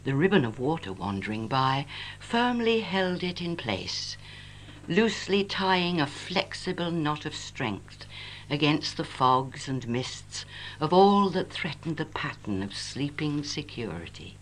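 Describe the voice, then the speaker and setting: An English woman reciting her own poem in a wistful, lyrical and measured way, on an old home cassette recording. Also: low voice, very animated. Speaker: poet reading own work. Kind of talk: expressive poetry recitation. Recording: noisy 1980s home cassette, humming. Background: tape noise and hum.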